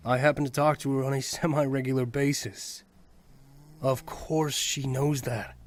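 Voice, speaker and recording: A man plays a blunt young friend who teases but stays loyal. His voice is medium in pitch, with a dry and casual delivery suited to a fan-made audio drama. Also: fairly even intonation, moderate pace, close to an everyday voice. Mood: frank reassurance. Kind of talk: amateur audio drama, anime fan parody.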